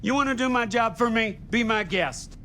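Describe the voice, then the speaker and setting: A man's voice: very high voice, moderate pace, sharp tone, a little hiss or hum. An actor playing a shifty, hard-drinking middle-aged American veteran watchman in a video game, muttering hesitantly in a folksy drawl. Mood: prickly, resentful. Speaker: mill night watchman. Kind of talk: cinematic video game acting.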